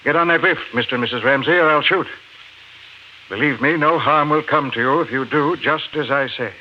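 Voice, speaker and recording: A man acting doom-obsessed professor, American, formal and insistent, higher-pitched, muffled noisy old radio drama recording. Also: a little fast, close to an everyday voice. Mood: grim, urgent. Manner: formal, insistent, reassuring while threatening. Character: calm, doom-obsessed former professor, a polite gun-wielding kidnapper.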